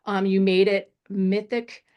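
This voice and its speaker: A woman's voice: mid-range voice, completely flat delivery, takes it slowly, darkish tone, smooth. A woman giving unscripted feedback on a screenplay as a panelist, encouraging and feeling her way through her phrasing. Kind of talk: unscripted screenwriting feedback in a table read discussion.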